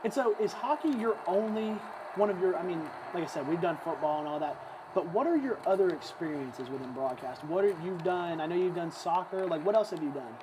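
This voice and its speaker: A man's voice: high voice, lively intonation, a little fast, noisy background. A young man hosting a casual interview while gaming, asking rambling, chatty questions. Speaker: show host and interviewer.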